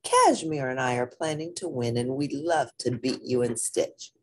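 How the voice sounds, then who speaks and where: A woman's voice: very deep voice, animated, normal speed, a little mellow, husky, heavily acted. A woman voicing a boastful young schoolgirl bully in a children's story, with a haughty, taunting manner.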